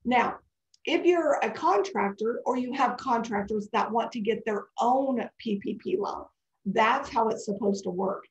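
A woman's voice: fairly high pitch, a little slow. Woman, scratchy and congested, chatty and digressive, live webinar, echoey home office, muffled webcam audio. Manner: chatty and digressive, answering questions. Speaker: webinar presenter. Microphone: webcam video call. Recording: dull echoey video-call audio.

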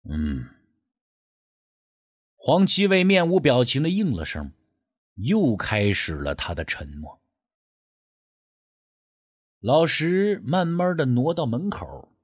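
Husky man telling a suspenseful story. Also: a little high, a bit bright. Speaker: audiobook narrator. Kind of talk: dramatic scripted narration of a suspense ghost story.

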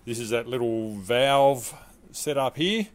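A man's voice: mid-range voice, animated, takes it slowly. A man, casually walking through his home garage workshop, rambling and asking viewers for advice. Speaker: car restoration vlogger.

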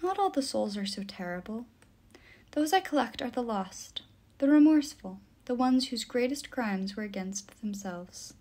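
A woman voicing an ancient river nymph in an audiobook, soft-spoken, formal and measured, with a mysterious, patient sorrow. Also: on the high side, lively intonation. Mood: wistful, solemn. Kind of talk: audiobook character dialogue.

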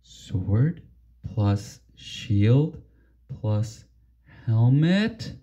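Male storybook reader, mellow and very unhurried, theatrical children's delivery. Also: medium pitch, big pitch swings. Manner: theatrical, deliberate. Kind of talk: expressive children's read-aloud.

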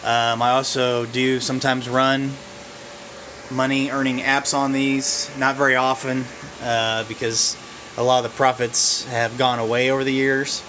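A man giving a casual, unscripted walkthrough of his home office and phones, relaxed and halting, with plenty of fillers. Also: medium pitch, slight background noise, rough recording. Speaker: vlogger showing his phone farm. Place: cluttered home office full of phones and computers. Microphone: handheld camera mic, filming while walking around.

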